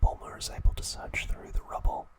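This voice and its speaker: A man narrating scripted trivia as an ASMR host in a soft, breathy, unhurried whisper.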